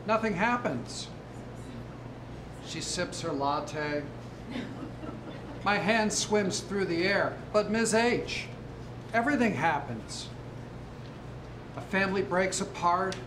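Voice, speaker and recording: A man with a high voice reads poetry aloud at a slow pace, pausing deliberately between lines. The sound is roomy and echoey, with noticeable background noise. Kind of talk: poetry reading.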